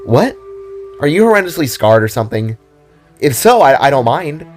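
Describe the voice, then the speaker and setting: Guy doing a goofy chatty girl hero, pitched up, playful n rambly, audio drama, music in back. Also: sing-song, normal speed, lightly acted. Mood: curious, eager. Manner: playful, sarcastic, rambling with false starts. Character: chatty, goofy, excitable freckled girl adventurer.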